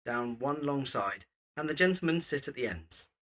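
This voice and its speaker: A man's voice: medium pitch, lively intonation, normal speed, a bit bright. A man reading scripted scene-setting narration for an audio drama. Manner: descriptive, present-tense stage directions.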